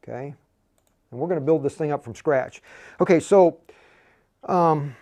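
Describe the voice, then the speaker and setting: A man's voice: fairly high pitch, a little slow, warm tone. A man hosting an informal step-by-step tech tutorial, thinking aloud as he demonstrates. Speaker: livestream host giving a radio programming tutorial. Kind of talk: casual step-by-step software tutorial.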